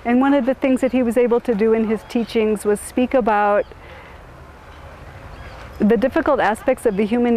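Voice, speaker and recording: A middle-aged woman from suburban Chicago, answering interview questions in a thoughtful, halting way with many restarts. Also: a little high, a little mellow, slight background noise, low-quality recording. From suburban Chicago, USA.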